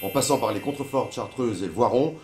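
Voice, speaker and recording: A man's voice: mid-range voice, fast talker, lightly acted. A man playing a pragmatic, matter-of-fact local in a scripted promotional dialogue. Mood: businesslike. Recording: roomy echo, music behind voice. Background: music.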